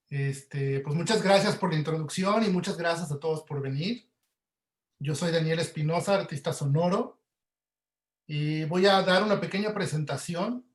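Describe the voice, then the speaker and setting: A Mexican man giving an informal webinar lecture, explaining politely and a bit haltingly over a video call. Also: fairly high pitch. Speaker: sound artist giving a talk. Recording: roomy, echoey video call audio.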